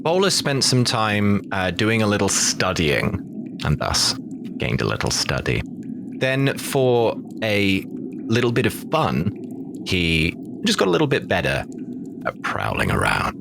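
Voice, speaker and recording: A man with a low, gravelly voice and a dry, drawn-out delivery. His pitch swings widely as he speaks slowly. Steady noise sits behind him. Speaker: player. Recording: noisy background.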